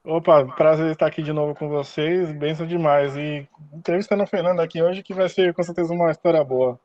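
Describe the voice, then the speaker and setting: A Brazilian man joins as co-host on a slightly dull, dry remote call. His voice is a touch high and his interviewing style is casual. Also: steady tone, quick pace. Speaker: podcast co-host. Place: joining remotely by online call.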